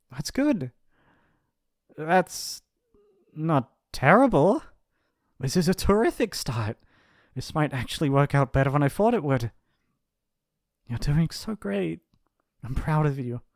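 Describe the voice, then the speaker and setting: A man playing a shy, gently possessive young mafia heir in an intimate ASMR roleplay, speaking hesitantly and affectionately. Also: medium pitch, no room sound, clean studio sound. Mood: tender, encouraging. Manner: hesitant, earnest, stammering, affectionate. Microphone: close studio mic, dry.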